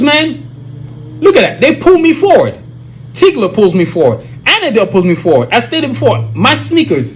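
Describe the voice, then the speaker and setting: A man with a Nigerian accent hosting his own podcast, talking casually and reading sponsor plugs, with many repeated catchphrases. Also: high-pitched. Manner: animated, repetitive, keeps saying "you know what I'm saying". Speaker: podcast host.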